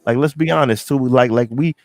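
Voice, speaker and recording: A man's voice: mid-range voice, a little fast, no room sound. A middle-aged man from New York is talking on a podcast, loose and emphatic, circling back over his points and swearing as he goes. His voice is in the middle of the usual range for a man. The sound is close and dry, with almost no room around it. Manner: animated, emphatic, repeats himself, swears freely. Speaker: podcast co-host. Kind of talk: casual podcast chat.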